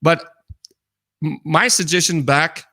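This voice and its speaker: Higher-pitched Pakistani man, halting delivery. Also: pushed and bright. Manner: halting, searching for words. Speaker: co-host. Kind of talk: casual live discussion, reading viewer comments.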